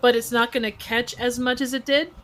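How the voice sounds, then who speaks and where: A woman's voice: a little high, level tone, a little fast, background noise. A woman in a cast, chatting casually and offering tips at rehearsal. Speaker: cast member.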